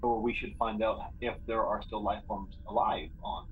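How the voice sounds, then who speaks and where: A man's voice: fairly low pitch, level tone, normal speed. A man thinking aloud in casual chat as a player in a tabletop role-playing game, a little tentative. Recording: dull lo-fi audio, clear background noise.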